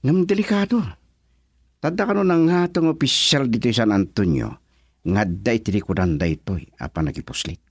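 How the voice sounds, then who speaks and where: Rough, husky grave comrade acted by a man. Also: mid-range voice, animated, moderate pace, no room sound, studio quality. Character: serious male comrade reporting dangerous secret news. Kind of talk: radio drama acting.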